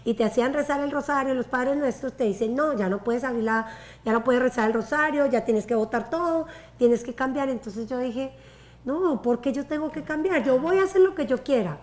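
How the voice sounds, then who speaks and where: A woman's voice: high voice, brisk. A woman in her forties from Colombia, speaking Spanish with a Colombian accent, telling her life story as a guest in a casual interview, talking with her hands. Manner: animated, gestures with her hands. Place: bright living room with a sofa and water view.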